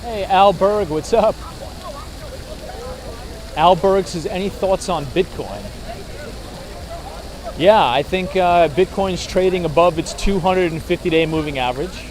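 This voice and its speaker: A hoarse man with a slightly higher voice talks casually while walking city streets, recorded outdoors on a handheld phone. Traffic hums behind him, and his delivery is loose and chatty, full of fillers. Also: unhurried, long pauses, poor audio. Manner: chatty, filler-heavy, frequent "right?". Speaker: vlogger talking markets on a city walk. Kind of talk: casual livestream chat, reading viewer comments. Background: traffic sounds.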